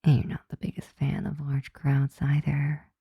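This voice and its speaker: A woman playing a flirty, softly dominant, affectionate vampire roommate in an intimate ASMR roleplay, breathy and often whispering, teasing and tender. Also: sits low, completely flat delivery, normal speed, no echo, muffled recording, full character voice. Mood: fond, gently teasing.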